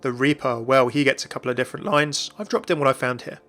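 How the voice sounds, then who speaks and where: A man's voice: medium pitch, quick pace, fairly bright tone. A man hosting a gaming video, giving informal commentary as a voice-over. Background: music.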